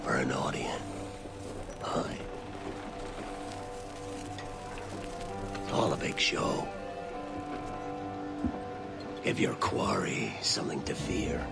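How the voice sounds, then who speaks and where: An actor playing a menacing, brooding middle-aged pirate captain in a video game, with a gravelly breathy growl and grandiose delivery. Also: male voice, a bass voice, very animated, loud music behind the voice, exaggerated voice. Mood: ominous. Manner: slow, grandiose, swinging between whisper and roar. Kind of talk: cinematic video game acting.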